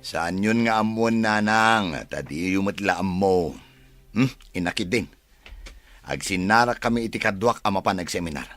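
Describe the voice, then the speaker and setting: A man's voice: low voice, moderate pace, a bit bright, faint music behind. A man acting as an evasive, defensive husband and son in an audio drama, brushing off questions with exasperation.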